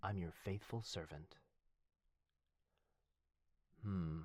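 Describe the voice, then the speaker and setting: A man playing a devoted head butler who speaks formally and fondly, with gentle teasing, in an ASMR roleplay. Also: sits low, drawn-out words, no echo, clean studio sound, full character voice. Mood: warm, devoted. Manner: formal, deferential, fond, softly teasing. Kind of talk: ASMR boyfriend roleplay, scripted acting.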